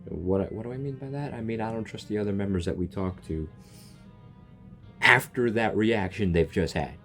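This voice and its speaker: A man's voice: on the low side, moderate pace, music in the background. A young man reacting to a video game, rambling and joking and tripping over his words. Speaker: gaming reaction youtuber. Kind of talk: casual reaction commentary.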